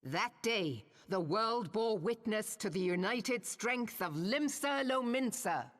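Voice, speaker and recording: An actress voicing a proud, solemn seafaring woman admiral in a video game, giving a measured, declamatory ceremonial speech. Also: mid-range voice, lively intonation. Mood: solemn, resolute. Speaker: military leader addressing followers. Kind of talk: rousing ceremonial oration, video game voice acting. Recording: long hall reverb.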